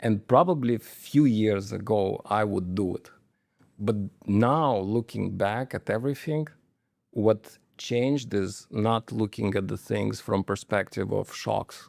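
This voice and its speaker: A man's voice: fairly low pitch, normal speed, vocal fry, darkish tone, no room sound, studio quality. A man in his late thirties from Moldova, a Romanian speaker, telling stories in a rambling interview style with lots of fillers and restarts. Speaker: guest employee. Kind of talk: casual interview storytelling.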